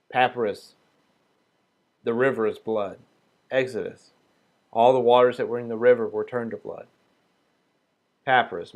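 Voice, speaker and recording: Male teacher, mid-range voice, deliberate reading, relaxed off-the-cuff explaining. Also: medium pitch. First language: not Hebrew. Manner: reads quotations slowly, then talks loosely with fillers and restarts. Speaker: Sabbath group teacher. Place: small group meeting room.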